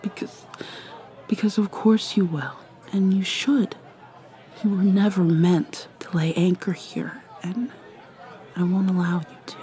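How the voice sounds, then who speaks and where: A woman acts out an ageless, secretive vampire mermaid woman for an ASMR roleplay, speaking softly and slowly in a guarded whisper. She often stops and restarts her words. Her voice sits over a faint crowd murmur. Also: lowish voice, steady tone, close to an everyday voice. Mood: wistful. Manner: soft-spoken, often whispering, halting restarts.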